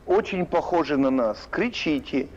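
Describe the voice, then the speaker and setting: A man's voice: on the high side, lively intonation, normal speed, muffled recording. A man arguing back sarcastically, firing needling questions at the other speaker. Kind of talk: heated argument.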